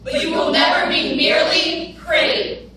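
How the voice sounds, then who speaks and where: Young woman, high pitch, declamatory poetry recital, heavy echo, noisy room. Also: level tone, normal speed, distant mic. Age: teenager or young adult. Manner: declamatory, emphatic. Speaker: readers theater performer. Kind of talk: dramatic spoken-word poetry recital. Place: large wooden hall with stage. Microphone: far camera, no visible mic. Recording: very echoey, noisy hall from afar.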